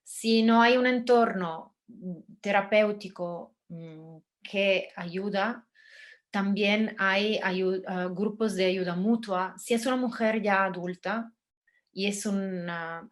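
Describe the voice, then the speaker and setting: A woman from Spain with a Spanish accent, giving calm, gentle advice on a video call, pausing and restarting often. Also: medium pitch. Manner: gentle, halting, with many pauses and restarts. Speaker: guest speaker, survivor. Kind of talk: calm advice in a live talk.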